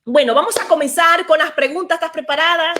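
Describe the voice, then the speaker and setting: High-voiced woman hosting a church show. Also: fast. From Venezuela. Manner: warm, frequent amens, preacherly. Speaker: program host.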